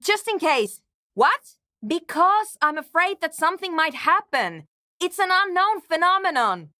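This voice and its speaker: A woman's voice: very high-pitched, sing-song, unhurried, bright, forceful tone, no echo, clean studio sound, heavily acted. A woman playing a guarded, impatient and anxious programmer in a video game, with a terse, precise and matter-of-fact way of talking. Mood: anxious, pleading. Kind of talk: scripted game character dialogue.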